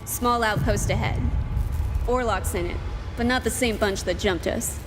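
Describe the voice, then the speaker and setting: A woman playing a brisk gang scout in a video game, reporting in a clipped, matter-of-fact way. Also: mid-range voice, natural voice. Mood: alert. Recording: long reverb under music.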